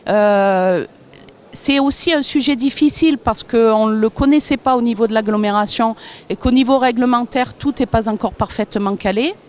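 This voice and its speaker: A woman with a fairly high voice speaks as a local official explaining her work. Her phrasing is measured and somewhat hesitant, with drawn-out pauses as she sets out each point. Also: a little mellow. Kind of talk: explanatory interview testimonial, semi-prepared.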